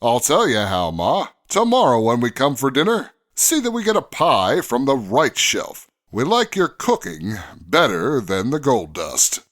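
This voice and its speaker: An animated, mid-pitched voice for a good-humored heroic Mountie sergeant played by a man. He speaks steadily in old-time radio adventure style. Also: unhurried, few pauses. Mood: teasing.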